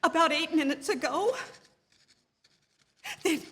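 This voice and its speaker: Actress as high-voiced, fretful, imperious invalid wife. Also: female voice, slow pace, a bit bright. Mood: frantic. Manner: agitated, stammering, haughty, indignant. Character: nervous, imperious bedridden wife, fretful and insistent. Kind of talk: stage performance of classic radio suspense drama. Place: live theatre stage. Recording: long hall reverb from theatre stage.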